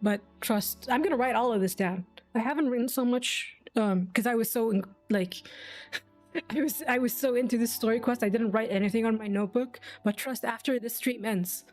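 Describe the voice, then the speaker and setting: A woman's voice: a little high, moderate pace, darkish tone. A young adult woman reacting casually on a live game stream in a chatty, slangy, informal way. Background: game music.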